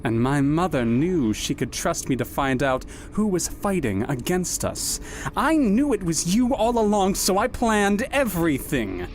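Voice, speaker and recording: Woman as a slow, sly, smug fox. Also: lowish voice, very animated, a little mellow, a little hiss or hum. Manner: drawling, smug, measured. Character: sly, smug female fox, loyal schemer. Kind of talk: sci-fi audio drama acting.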